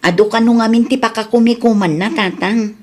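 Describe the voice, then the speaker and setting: A woman's voice: fairly high pitch, fairly even intonation, brisk, darkish tone, lightly acted. A woman playing an earnest, worried adult daughter in an Ilocano radio drama. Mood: concerned.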